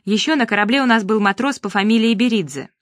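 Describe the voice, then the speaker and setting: Dry, calm woman reading a story. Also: fairly high pitch, no room sound. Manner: calm, measured, gently ironic storytelling. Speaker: audiobook reader. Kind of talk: scripted narration of a first-person story.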